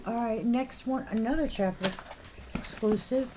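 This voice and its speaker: A woman co-hosts a casual live stream with a slightly higher voice that is wearing thin as she loses it. She reads out item numbers and fires back dry, teasing remarks. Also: measured pace, noisy background. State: losing her voice. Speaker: co-host of a live collectibles show.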